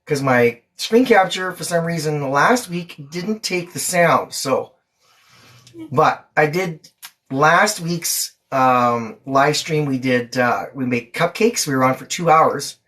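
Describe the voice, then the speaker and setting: A woman's voice: deep voice, slow, a bit bright. A woman hosting a livestream, talking in a casual, informal chat style. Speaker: livestream host and parent.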